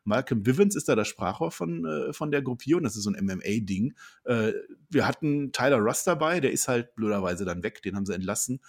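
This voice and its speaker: A man's voice: medium pitch, lively intonation, fairly quick. A man co-hosting a casual podcast, rambling through a rundown with dry jokes. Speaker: podcast co-host. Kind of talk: casual wrestling chat.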